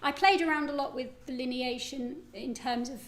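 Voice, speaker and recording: A high female voice rings in an echoey room. She is a poet chatting at a live literary event, informal in her phrasing and quick to play herself down. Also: steady tone. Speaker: guest poet. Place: indoor public event venue.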